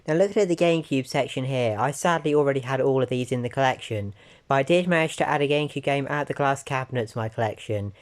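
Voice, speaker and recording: A man narrates a casual vlog about hunting for retro games. His voice is croaky from a cough and sore throat, and he talks in a chatty, enthusiastic way. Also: medium pitch, fast talker. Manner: chatty and enthusiastic, repeats "really, really". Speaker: retro game collector vlogger.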